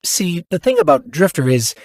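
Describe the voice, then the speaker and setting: An actor playing a friendly, upbeat small robot companion, chatty and helpful, guiding a newcomer in scripted game dialogue. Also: male voice, a little high, sing-song, normal speed, slight background noise.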